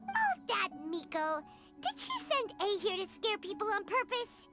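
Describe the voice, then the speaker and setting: A super high squeaky little girl fairy mascot, game cutscene, bouncy pitch all over, excitable. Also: measured pace, heavily acted. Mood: indignant, eager. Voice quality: squeaky, childlike. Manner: speaks of herself in third person, exclamatory. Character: tiny excitable childlike female fairy companion. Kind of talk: video game cutscene acting. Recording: music behind the voice.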